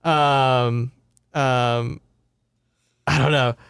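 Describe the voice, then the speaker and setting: A man's voice: mid-range voice, fairly even intonation, extremely slow, fairly bright tone, clear, resonant tone, dry recording, clean studio sound. A man hosting a podcast, giving a casual, rambling, sweary book report in conversation. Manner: informal, sweary, rambling storytelling.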